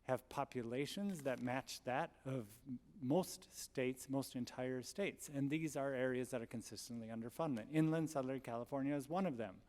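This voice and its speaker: A man giving formal, earnest testimony to a public board, speaking in measured, emphatic terms. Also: medium pitch, a little mellow, in a large hall. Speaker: college district presenter. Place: large public meeting hall.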